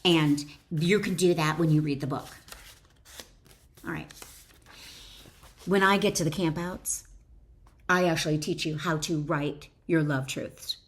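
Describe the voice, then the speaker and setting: A woman's voice: low-pitched, fairly even intonation, unhurried, pauses often, a little hiss or hum. A woman author and wellness educator reading her own self-help book expressively, with motivational emphasis, stressed key phrases and informal asides.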